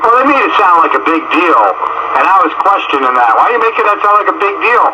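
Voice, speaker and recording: A man's voice: sits high, sing-song, quick pace. A man in casual, questioning conversation, recorded on noisy radio-style audio.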